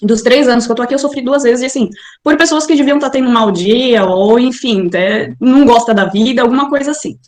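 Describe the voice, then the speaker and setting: Brazilian woman guest, bright-pitched, lively, room echo on the line. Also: fast. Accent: Brazilian Portuguese. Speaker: interview guest. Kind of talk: casual video call interview.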